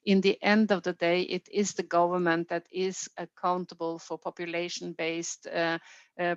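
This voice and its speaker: A woman with a Norwegian accent gives unscripted formal remarks as an official at a meeting, choosing her phrasing deliberately and stressing key words. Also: on the low side. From Norway. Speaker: health organisation official leading a consultation. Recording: roomy, dull band-limited meeting audio.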